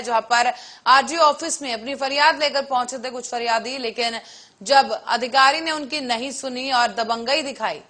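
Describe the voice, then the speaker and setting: A woman's voice: a little high, sharp tone. A woman reading a television news bulletin as the anchor.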